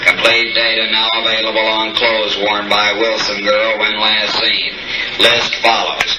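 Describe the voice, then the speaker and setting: An actor playing an official police radio dispatcher, reading clipped, impersonal bulletins in an old-time radio drama. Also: male voice, fairly low pitch, completely flat delivery, measured pace, close to an everyday voice. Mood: official, urgent. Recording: distant, echoing radio speaker under loud music.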